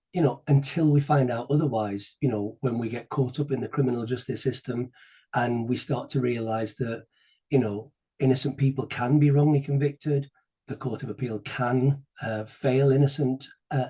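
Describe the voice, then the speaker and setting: A male interview host with a husky, warm, dark-toned voice. He talks haltingly, repeating words and clearing his throat as he goes. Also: mid-range voice. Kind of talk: conversational interviewing.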